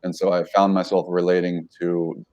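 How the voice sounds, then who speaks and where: A man's voice: deep voice, little pitch movement, moderate pace, dull audio. A man from the United States, a guest in an interview, telling his own story in a rambling way, with many fillers and self-corrections. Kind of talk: casual interview talk.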